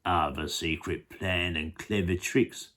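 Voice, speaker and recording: Enormous storybook crocodile, man acting: smug, gloating, hushed low menace, drawn-out delivery. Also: steady tone. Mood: sly, gloating. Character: sly, greedy, gloating male enormous crocodile.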